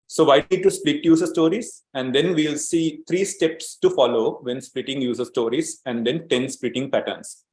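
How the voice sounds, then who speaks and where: Sri Lankan-born agile coach, echoey room. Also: male voice, medium pitch, normal speed. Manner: steady explaining, frequent "um" and "uh" fillers, repeats words. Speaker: agile coach leading a webinar. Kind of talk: online training webinar presentation.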